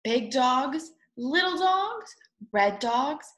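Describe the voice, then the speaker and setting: Animated, high-voiced young woman reading aloud. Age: 20s. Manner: expressive, slow, drawn-out words. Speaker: storybook reader. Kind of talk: animated picture book read-aloud for young children. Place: small room at home, indoors. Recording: echoey room.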